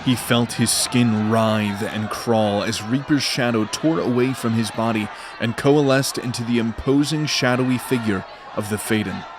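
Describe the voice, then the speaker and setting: Male fantasy narrator, measured and dramatic. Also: medium pitch, crowd noise, background noise.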